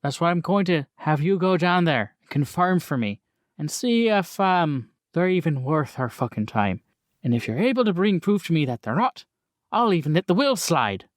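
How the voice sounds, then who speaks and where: A man's voice: fairly high pitch, sing-song, moderate pace, no echo, studio quality. Man playing a blunt, menacing mob boss. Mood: businesslike, stern. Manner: blunt, bargaining, commanding. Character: gruff, menacing male mob boss, foul-mouthed, businesslike.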